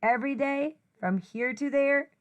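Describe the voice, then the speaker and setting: High-pitched woman reading a children's book. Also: measured pace, lo-fi sound. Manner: expressive sing-song rhyming delivery with playful asides. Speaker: teacher reading aloud. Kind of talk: children's picture book read-aloud.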